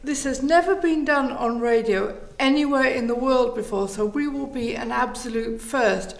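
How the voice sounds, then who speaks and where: A woman's voice: high-pitched, normal speed, gravelly. A woman answering interview questions and telling stories as the leader of a theatre group.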